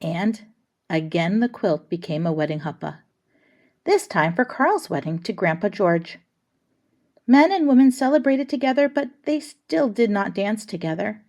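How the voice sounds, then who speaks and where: A woman's voice: medium pitch, lively intonation, unhurried, a little mellow. Woman reading a storybook aloud. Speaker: reader of a children's picture book.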